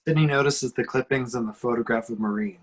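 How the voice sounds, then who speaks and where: Male action reader, medium pitch, scripted scene narration for an amateur script read-through. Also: fast. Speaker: reader of screenplay action descriptions.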